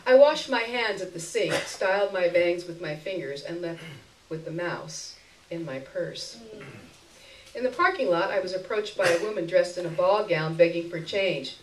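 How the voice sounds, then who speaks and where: A woman giving an expressive live reading of a first-person short story, in a literary narration style. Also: fairly low pitch, moderate pace, slight background noise. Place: indoor stage before an audience. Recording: roomy live stage recording, distant mic.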